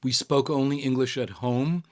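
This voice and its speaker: Casting: older man raised in Israel, mid-pitched voice, reminiscing in an interview, stop-start delivery full of "uh"s. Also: fairly even intonation, a little mellow. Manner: halting, many fillers and restarts. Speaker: cantor, interviewee. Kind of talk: reflective interview answers.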